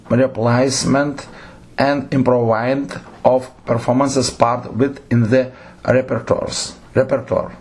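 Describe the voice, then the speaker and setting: Halting, husky man with a Lithuanian accent. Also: medium pitch, darkish tone. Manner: halting, frequent self-corrections and restarts. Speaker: theatre director lecturing. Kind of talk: slow read lecture with numbered points.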